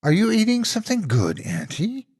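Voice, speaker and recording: A man's voice: high voice, wildly swooping pitch, moderate pace, darkish tone, breathy edge, pitched above the performer's own voice, heavily acted. A man voicing a greedy, fearless little boy who talks in a bold, childish, insistent way. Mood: eager.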